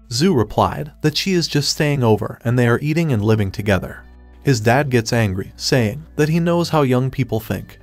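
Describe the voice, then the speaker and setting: Man narrating a comic recap over faint music. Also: mid-range voice. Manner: steady third-person retelling. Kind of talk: scripted story recap narration. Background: faint background music.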